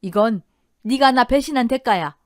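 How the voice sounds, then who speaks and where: A fierce, betrayed older wife, acted out by a woman narrator in an audio drama, scolding with biting indignation. Her high voice swings sharply up and down, recorded close and dry. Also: sing-song, a little slow, no echo. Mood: vengeful rage.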